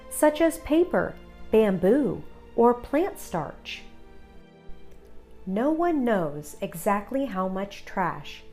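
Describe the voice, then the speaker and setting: A woman narrating a children's read-aloud in a deliberate, instructive manner. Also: mid-range voice, a little mellow. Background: music behind the voice.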